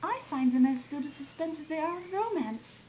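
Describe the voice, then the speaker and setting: A woman's voice: high-pitched, animated, fairly quick, a little mellow, a little hiss or hum. A woman voicing a refined, flirtatious, slightly vain but kindly female unicorn in a theatrical fan-made audio drama. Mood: chatty, genteel. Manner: genteel, theatrical, coquettish.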